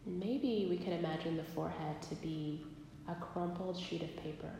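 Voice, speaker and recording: A woman leading a guided body scan meditation, speaking gently and unhurriedly with long pauses. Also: on the low side, fairly even intonation, creaky, faint music behind. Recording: very echoey live recording, long reverb.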